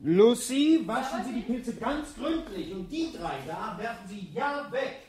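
An actor playing a courteous, dutiful butler in a German radio drama, speaking formally and deferentially. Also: male voice, very high-pitched, expressive, measured pace. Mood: puzzled muttering.

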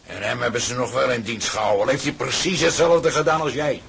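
An actor playing a gruff, practical older father from a seafaring family in a radio drama, with a gravelly voice. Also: mid-range voice, fairly even intonation, fairly quick, a bit bright, slight background noise. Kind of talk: Dutch radio drama acting.

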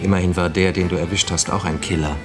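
A man's voice: deep voice, fairly even intonation, fast, music in the background, close to an everyday voice. A man acting a police subordinate who reports to the chief in a radio crime drama, factual, clipped and dryly precise. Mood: sober.

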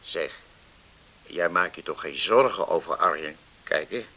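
A man's voice: deep voice, unhurried, sharp tone, exaggerated voice. An actor playing an older countryman, a concerned elder brother, in a radio play.

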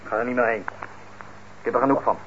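An actor playing a sullen, stubborn young lad in a radio drama, curt and grudging. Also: male voice, mid-range voice, fairly even intonation, fast talker, fairly bright tone, close to an everyday voice. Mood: curt, fed up. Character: sullen, stubborn working-class lad, fed up and evasive. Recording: muffled, noisy old radio recording.

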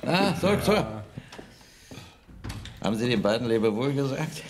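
An actor playing a smug village conspirator in a radio crime play, talking in a dry, casual, knowing way. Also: male voice, medium pitch, expressive, normal speed, slight background noise, natural voice.

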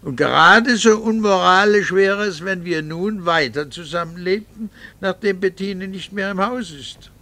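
An actor playing a cool, bitter middle-aged doctor and husband in a radio drama, speaking formally with dry irony. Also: male voice, sits high, bright, forceful tone, full character voice. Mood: cold, bitter resolve. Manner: formal, measured, dryly ironic. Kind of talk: 1960s German radio drama acting.

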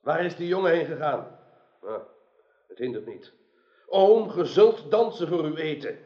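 A man's voice: fairly high pitch, animated, measured pace, echoey room. An actor playing a debauched, bullying and cowardly usurper king in a radio drama, barking out commands theatrically. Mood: sneering. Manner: imperious, shouting commands. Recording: dull radio play recording, music behind.

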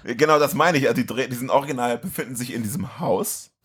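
A man's voice: medium pitch, fast talker, a bit bright, husky. A man co-hosting a podcast, recapping and chatting about a film in a casual, colloquial way. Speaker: podcast co-host.

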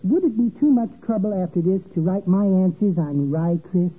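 A tinny, faintly hissy old radio recording of a henpecked little American man. He is meek and apologetic, halting over his words, with a lively, swooping pitch in classic radio comedy style. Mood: meek, plaintive.

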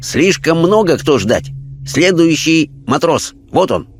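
High-pitched spirit guide. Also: male voice, very animated, slow pace, slight background noise. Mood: brisk, knowing. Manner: clipped broken grammar, short exclamations. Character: quaint Chinese male spirit guide, cryptic, brisk.

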